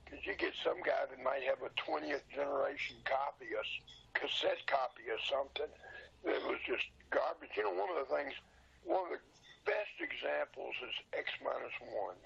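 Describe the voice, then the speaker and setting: An older man, past sixty, with a gravelly, husky voice, talking casually and plainly as an interview guest, explaining things informally. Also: mid-range voice, sing-song, moderate pace, fairly bright tone. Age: 60s or older. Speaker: interview guest, old radio show collector and seller. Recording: dull lo-fi sound.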